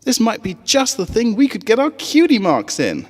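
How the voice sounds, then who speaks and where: Storybook little pony girl, acted by a man: hopeful, studious, very high swooping voice, reverberant. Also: huge pitch swings, normal speed, exaggerated voice.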